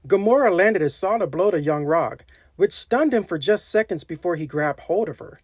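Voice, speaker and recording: Expressive woman reading a children's story. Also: on the low side, moderate pace, breathy edge, no room sound, clean studio sound. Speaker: storybook reader. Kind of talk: animated scripted children's narration.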